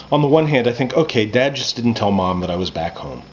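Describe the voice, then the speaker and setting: Guy reading his novel out loud, chatty and expressive, kinda muffled audio w a bit of background noise. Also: medium pitch. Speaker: author reading own novel. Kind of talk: audiobook-style first-person fiction reading.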